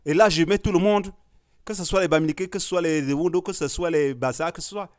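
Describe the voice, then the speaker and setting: A man debates on a panel. His voice sits a bit high, and he pushes his points with emphatic, forceful declarations. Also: fairly quick, smooth. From Cameroon. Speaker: artist and discussion guest. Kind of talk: heated panel discussion.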